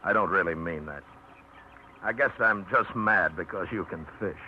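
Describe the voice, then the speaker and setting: An actor playing a gruff, teasing fisherman with dry, sardonic banter in a 1950s radio drama. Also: sits low, moderate pace, a little hiss or hum, lightly acted. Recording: dull old radio broadcast.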